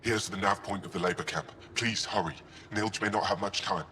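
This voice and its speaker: A man's voice: lowish voice, fairly even intonation, fairly quick, sharp tone, slight background noise. An actor playing an earnest, solemn young male alien resistance member in a video game. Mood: earnest, urgent.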